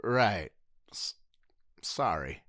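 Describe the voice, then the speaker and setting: A man's voice: on the low side, very animated, very slow, fairly bright tone, no echo, heavily acted. Man voicing young martial arts instructor, easygoing, slangy, dry close mic. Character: young male martial arts instructor, laid-back hero.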